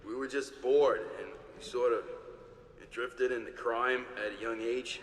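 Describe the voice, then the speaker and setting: A man's voice: mid-range voice, fairly even intonation, close to an everyday voice. Echoey captured rebel man played by an actor. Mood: halting, pleading. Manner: halting, stammering. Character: captured young American rebel reading a coerced confession. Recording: echoey hall, faint noise.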